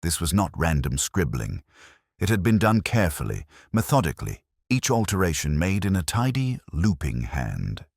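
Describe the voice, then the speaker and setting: A man narrating a scripted mystery story in a measured, formal, literary manner. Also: sits low, sing-song, creaky, dry recording, clean studio sound. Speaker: audiobook narrator.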